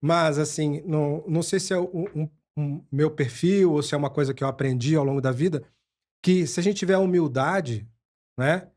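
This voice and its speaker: A man's voice: fairly high pitch, a little mellow. Hesitant Brazilian male podcast host. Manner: halting, restarts and "né" fillers. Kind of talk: casual interview chat.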